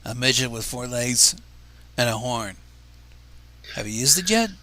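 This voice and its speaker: A man's voice: mid-range voice, expressive, a little slow, sharp tone, voice pushed down. A man voicing a curious, teasing young man in a fanfiction reading.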